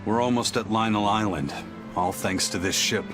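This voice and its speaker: An actor playing a gruff, brooding pirate with a grave, terse manner in a video game cutscene. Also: male voice, on the low side, moderate pace, lightly acted. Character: brooding, gruff pirate man haunted by a cursed past. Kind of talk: English-dubbed video game cutscene acting. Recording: dramatic game music behind the voice.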